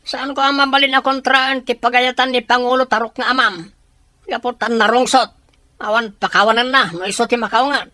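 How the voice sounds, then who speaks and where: Woman acting a sharp, blunt follower. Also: fairly high pitch, steady tone, brisk, dry recording. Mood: dismissive, stubborn. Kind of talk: amateur drama dialogue.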